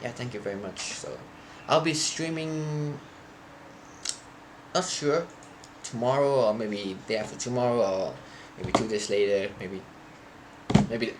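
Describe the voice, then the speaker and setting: A young man, a native Thai speaker, chatting loosely to his live stream viewers, often halting and restarting as he searches for words. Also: medium pitch, background noise. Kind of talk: casual stream chat to viewers.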